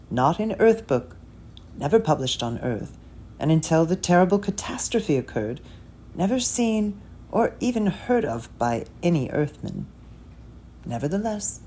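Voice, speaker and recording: Slow, low-pitched woman reading a story, noisy recording. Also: warm tone. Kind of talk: scripted narration, literary reading of comic science fiction.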